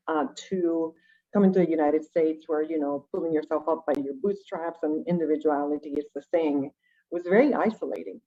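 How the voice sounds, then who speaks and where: A woman from Venezuela, a native Spanish speaker, tells her own story conversationally and reflectively, often checking in with rhetorical questions. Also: low voice. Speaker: guest, education nonprofit director. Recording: dull, lo-fi call audio.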